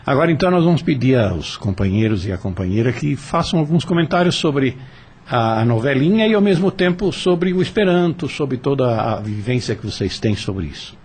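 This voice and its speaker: A husky-voiced older man with a Brazilian accent hosts a radio discussion. He sits at an average pitch and explains things haltingly, often repeating words as he goes. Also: very animated, a little mellow. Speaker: radio host.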